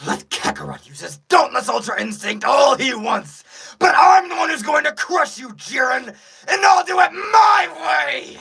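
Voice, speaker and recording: Screaming, straining anime warrior. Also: male voice, extremely high pitch, very animated, a little slow, vocal fry, a faint crowd, pitched above the performer's own voice, exaggerated voice. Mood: furious straining. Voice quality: strained, shouting, effortful. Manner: defiant, declaiming in bursts. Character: furious proud male anime warrior straining through an attack. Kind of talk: anime dub monologue.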